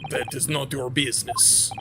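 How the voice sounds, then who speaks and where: Someone doing a gruff Russian sailor guard. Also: mid-range voice, level tone, background noise, pitched below the performer's own voice, close to an everyday voice. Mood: stern. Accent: mock Russian. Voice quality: broken English, louder and slow. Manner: stern, haughty, dismissive. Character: gruff burly male Russian ship guard. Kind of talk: reading a video game character aloud.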